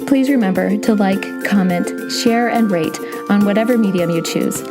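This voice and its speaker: A woman's voice: medium pitch, normal speed, over loud music. A woman hosting an interview podcast, with a scratchy voice today, reading her intro and then chatting eagerly with her guest. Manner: enthusiastic, reads intro script then chats. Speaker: podcast host.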